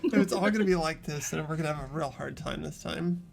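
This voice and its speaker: A woman's voice: sits low, fast talker, gravelly. A woman narrating a game playthrough in the first person, like a story. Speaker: narrator and player.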